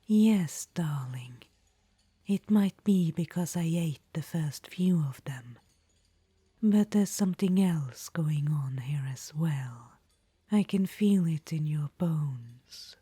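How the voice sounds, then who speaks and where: A woman's voice: deep voice, expressive, slow, dark tone, dry recording, exaggerated voice. A woman voicing an ancient, vain undead witch-queen in an audio roleplay, whispering and calling the listener darling. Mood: languid, conspiratorial. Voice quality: breathy whisper.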